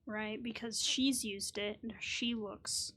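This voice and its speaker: A woman's voice: a little high, fairly even intonation, slow pace, fairly bright tone, a little hiss or hum. A woman game streamer giving casual, chatty playthrough commentary, informally mimicking characters as she goes.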